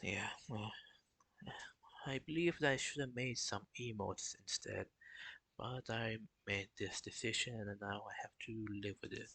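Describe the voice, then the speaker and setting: A young man talking casually on a live stream, in a flat, halting way with many restarts. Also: on the low side, gravelly, dry recording, low-quality recording. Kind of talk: casual solo stream talk.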